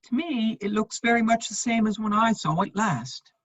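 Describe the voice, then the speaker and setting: A woman playing a witty, aloof upper-class gentleman in a dramatic reading of a period comedy, husky-voiced, with a dry, measured, cutting delivery. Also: fairly high pitch, no echo, dull audio, natural voice. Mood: cold, accusing.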